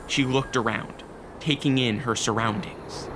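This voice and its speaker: Guy narrating radio drama, slow n measured, mid pitch, noisy behind. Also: steady tone. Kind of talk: scripted narration. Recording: noticeable background noise.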